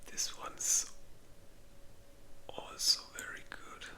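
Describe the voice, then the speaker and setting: An ASMR creator whispering in a breathy, unvoiced voice, chatting informally and thinking aloud with some hesitation. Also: drawn-out words, poor audio. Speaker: ASMR video creator and host. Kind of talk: whispered ASMR, casual rambling chat.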